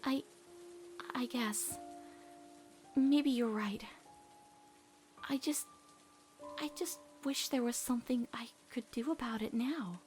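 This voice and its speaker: Earnest, self-doubting teenage girl, played by a woman, high-pitched, halting, dramatic cartoon dub. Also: a little mellow, soft background music. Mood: hesitant, regretful. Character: guilt-ridden, earnest, stubborn teenage human girl. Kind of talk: dramatic comic dub acting.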